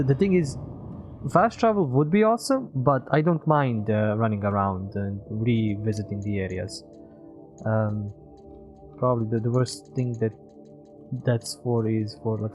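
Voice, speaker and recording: Male game streamer, mid-pitched, loose rambling chat with viewers over faint game music. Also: a little mellow, muffled recording. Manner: rambling, thinking aloud. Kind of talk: casual live gameplay commentary, chatting with viewers.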